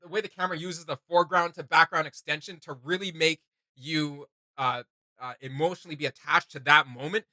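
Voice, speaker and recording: A man with a very bright, forward voice talks as a casual reaction host. He sits a little high in pitch and rambles eagerly, thinking aloud. The sound is close and dry. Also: fairly even intonation, fairly quick, no echo.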